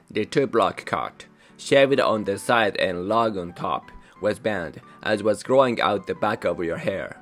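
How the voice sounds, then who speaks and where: Man, Japanese accent, fairly deep voice, careful scripted narration, faint music underneath. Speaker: channel host. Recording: soft music behind voice.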